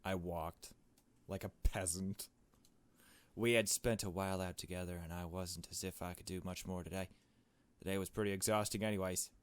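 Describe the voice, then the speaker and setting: Low-voiced man doing casual game commentary. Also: smooth, dry recording. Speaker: gaming video host. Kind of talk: casual playthrough commentary mixed with reading visual novel text aloud.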